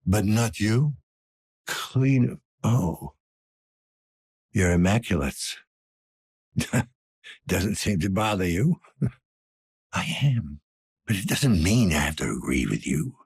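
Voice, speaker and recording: A man playing a weary, gruff older police detective in a cyberpunk video game, speaking tersely in a low-key, world-weary way. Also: big pitch swings, takes it slowly, long pauses, a little mellow, no room sound, clean studio sound, full character voice. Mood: wry. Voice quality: husky, gravelly.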